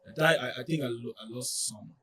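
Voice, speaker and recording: Young man, French accent, non-native English, halting, lots of fillers, distant mic, faint noise. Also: medium pitch, bright, forceful tone. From France. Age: young adult. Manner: halting, many fillers and restarts. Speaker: college soccer player, guest. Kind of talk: casual interview.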